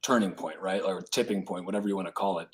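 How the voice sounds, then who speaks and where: A man's voice: deep voice, steady tone, quick pace. A man on a podcast panel, explaining economics casually with lots of filler words.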